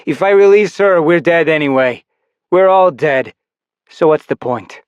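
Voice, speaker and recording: A man reading an audiobook voices a resentful, downtrodden man who has turned vengeful and shouts bitterly. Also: mid-range voice, sing-song, normal speed, no echo, muffled recording. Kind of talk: audiobook character voice.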